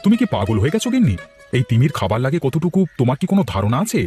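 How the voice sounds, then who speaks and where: A man playing village farmers in a cartoon, speaking in an expressive, exclamatory way. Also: medium pitch, racing, faint music behind, natural voice. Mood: concerned. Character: village men: practical farmer husband, frightened neighbours. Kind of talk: cartoon voice acting.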